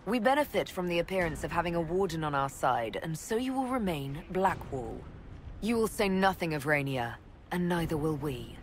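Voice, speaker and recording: An actress playing a composed, authoritative leader in a fantasy video game, speaking in a formal, firm and measured way. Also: female voice, fairly low pitch, expressive, slight background noise, lightly acted. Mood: cold, commanding. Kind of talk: dramatic video game acting.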